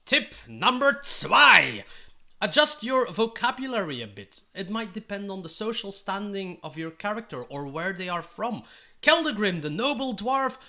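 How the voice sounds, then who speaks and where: Man, 30s, Dutch accent, native Dutch speaker, upbeat tips host, high-pitched, stand mic in a small room. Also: pushed and bright. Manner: upbeat and encouraging, gestures with his hands. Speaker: YouTube host giving roleplaying tips. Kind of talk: scripted-sounding advice monologue to camera. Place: small indoor room with striped curtains. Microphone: studio mic on a stand in front of him.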